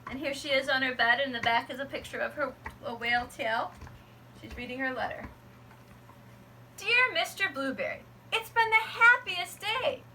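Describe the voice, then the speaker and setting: A woman teacher reading a picture book aloud to her students, gentle and expressive. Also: high voice, moderate pace, a little hiss or hum, poor audio.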